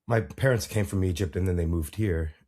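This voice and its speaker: A man's voice: low-pitched, brisk, warm tone. An American man hosting a podcast interview, rambling casually with many fillers and restarts. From United States. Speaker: podcast host.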